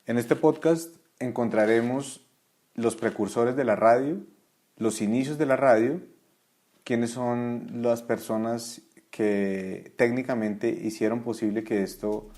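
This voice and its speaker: Mellow-voiced Bogotá man explaining. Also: medium pitch, steady tone. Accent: Bogotá Colombian Spanish. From Bogotá, Colombia. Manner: clear, deliberate explainer. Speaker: podcast host and Spanish tutor. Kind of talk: scripted introduction and vocabulary explanations.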